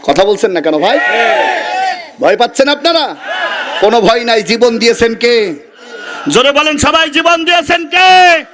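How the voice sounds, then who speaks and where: A man's voice: very high-pitched, expressive, normal speed, long pauses, noisy background. A Bangladeshi man preaching an Islamic sermon emphatically, repeating phrases and urging his listeners to respond. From Kushtia region, Bangladesh. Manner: emphatic, rhetorical repetition, exhorting listeners to answer. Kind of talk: fiery sermon with call-and-response. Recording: live gathering with crowd behind. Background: crowd of listeners.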